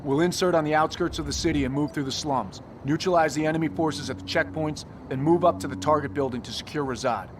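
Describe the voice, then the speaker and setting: An actor playing a decisive special forces commander in a video game, terse and commanding. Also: male voice, fairly high pitch, fast, hardly any pauses, lightly acted. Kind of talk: video game cutscene acting. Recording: loud vehicle and outdoor noise.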